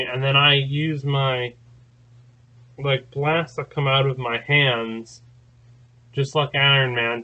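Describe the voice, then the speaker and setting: Slow-talking man with a bright, tense tone. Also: mid-range voice, dull audio. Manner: rambling, many fillers and restarts. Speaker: channel host. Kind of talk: casual spoken recounting.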